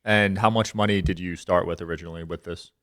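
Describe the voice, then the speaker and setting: A man's voice: low voice, fairly even intonation, fast, fairly bright tone, no room sound, clean studio sound. A man hosting a podcast, chatting casually and reacting with short replies. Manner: laid-back, short reactions. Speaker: podcast host. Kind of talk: casual interview chat.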